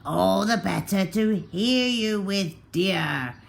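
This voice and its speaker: A woman's voice: mid-range voice, animated, slow pace, gravelly. A woman voicing a sly big bad wolf who wheedles with false sweetness and a hint of growl in a children's storybook tale. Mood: falsely sweet, menacing. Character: big bad wolf, sly male beast feigning niceness.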